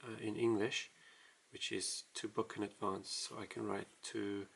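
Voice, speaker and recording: A man casually walking through a writing tutorial, explaining in a halting, self-deprecating way as he writes. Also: on the low side, flat delivery, creaky, a bit bright, slightly rough. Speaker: language tutorial host.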